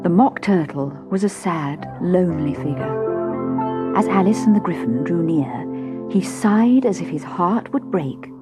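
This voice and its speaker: A woman's voice: on the low side, lively intonation, a little slow. A woman, reading a children's storybook expressively. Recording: cassette storybook with faint music.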